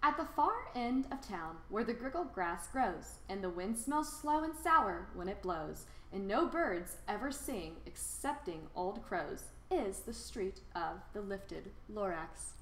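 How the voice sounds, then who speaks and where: A woman reads a children's storybook aloud with expressive, theatrical emphasis and a rhyming cadence. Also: medium pitch, a little slow, hardly any pauses, a little hiss or hum. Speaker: storybook reader. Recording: roomy, echoing sound.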